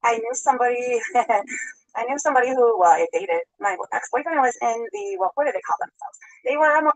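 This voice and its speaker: A woman's voice: on the high side, fairly quick, dry recording, low-quality recording. A woman chatting on a live stream, rambling, greeting viewers and laughing often, in playback with altered speed. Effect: sped-altered playback. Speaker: livestreamer. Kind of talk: casual live-stream chat.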